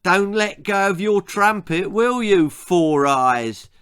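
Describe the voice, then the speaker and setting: A man's voice: high voice, animated, slow, a bit bright, smooth, pitched above the performer's own voice, heavily acted. A man voicing a cruel schoolboy bully with a taunting, sneering manner, in a children's storybook reading. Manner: taunting, sneering, mock baby-talk.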